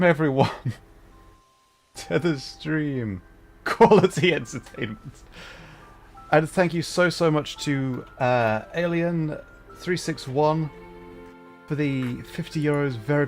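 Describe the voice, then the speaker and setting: A man's voice: a little high, measured pace, soft background music. A man hosting a casual gaming livestream, chatting with a wry, self-deprecating manner. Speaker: livestreamer host.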